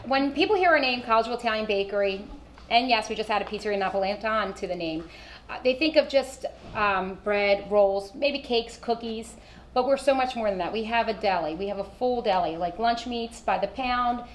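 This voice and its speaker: A woman who co-runs a bakery, appearing as a guest and briskly, enthusiastically listing what her shop offers. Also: on the high side, a little hiss or hum. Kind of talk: promotional pitch in interview. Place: small studio with banners.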